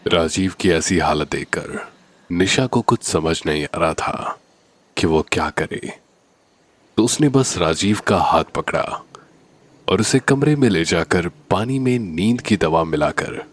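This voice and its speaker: A man narrating a scripted horror story in a deliberate, suspenseful manner. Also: sits low, lively intonation.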